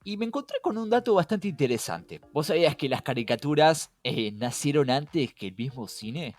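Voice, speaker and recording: Animated Rioplatense man hosting a podcast. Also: a little high, normal speed, no echo. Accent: Rioplatense Spanish. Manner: engaging, asks rhetorical questions. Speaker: podcast host. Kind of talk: casual conversational podcast explainer. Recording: music behind. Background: background music.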